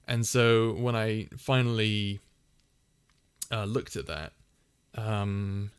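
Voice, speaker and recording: A man hosting a casual livestream Q&A. His voice is soft and soothing, and he rambles through his answers with lots of fillers and restarts. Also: lowish voice, steady tone, slow pace, clear, resonant tone. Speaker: ASMR channel host answering viewer questions.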